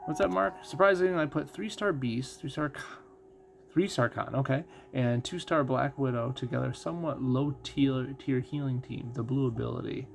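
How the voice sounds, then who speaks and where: A man's voice: medium pitch, moderate pace, gravelly, noisy background. A man doing casual live commentary as a game streamer, explaining things conversationally and thinking aloud.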